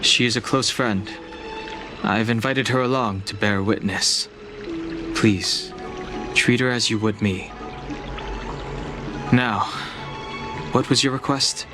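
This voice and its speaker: A man's voice: medium pitch, takes it slowly, long pauses, lightly acted. A man voicing a stoic young noble of dragon lineage in a video game, restrained and serious. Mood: reserved, courteous. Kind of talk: scripted game cutscene acting. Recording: music behind the voice.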